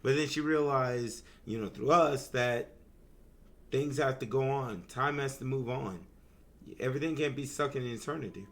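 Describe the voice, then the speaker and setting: A man's voice: medium pitch, normal speed, fairly bright tone. A man giving casual live reaction commentary as a game streamer.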